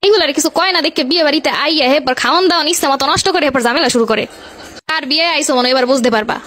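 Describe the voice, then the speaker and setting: A high, very rapid voice of a stingy young village bride in a comic cartoon, acted by a woman. She gives blunt orders and scolds in a Barisal accent from Bangladesh. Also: a bit bright. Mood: indignant. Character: bossy, stingy young village bride guarding her wedding.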